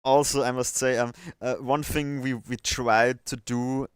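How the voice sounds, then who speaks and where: A man's voice: medium pitch, little pitch movement, unhurried, clean tone, no echo, clean studio sound. A man chatting casually as the host of a livestream about his video editing work. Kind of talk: casual chat.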